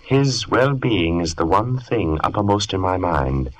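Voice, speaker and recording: An actor playing an obsessed gentleman scientist with a dark secret, theatrical and intense, in an old radio horror drama. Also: deep voice, sing-song, unhurried, low-quality recording. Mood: smoothly evasive.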